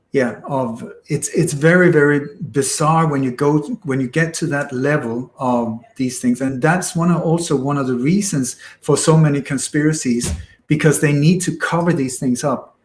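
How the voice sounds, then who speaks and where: A man from Denmark and Sweden with a Scandinavian accent, explaining at length in an interview and repeating phrases for emphasis. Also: a little high. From born in Denmark, grew up in Sweden. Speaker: interview guest. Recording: echoey room sound.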